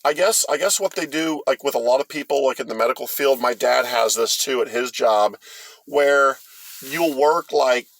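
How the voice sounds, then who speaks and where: A middle-aged man with an American accent, telling a story casually in a halting, rambling, folksy way. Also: medium pitch, fairly bright tone. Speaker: host of a storytime vlog.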